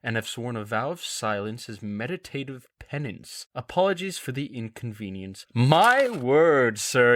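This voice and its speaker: A man's voice: mid-range voice, a bit bright, dry recording, studio quality. A man playing a pompous, chatty nobleman in a fantasy audio drama, with haughty, florid delivery. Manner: haughty, affected, florid speech.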